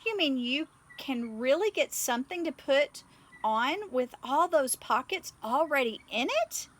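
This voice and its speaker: Lady doing an excited kangaroo mom from a kids book, high bright voice, polite and pleady. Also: unhurried. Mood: polite, eager. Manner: pleading, exclaiming. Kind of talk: children's storybook character voice.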